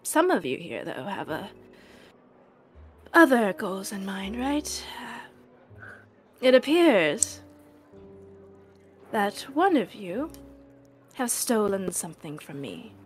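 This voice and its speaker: Woman as theatrical troublemaking adventurer, brazen, slow measured delivery, mid pitch, tabletop game, faint music. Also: sing-song. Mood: pointed, theatrical. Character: nonbinary adventurer Kalei, brazen, theatrical troublemaker making toasts.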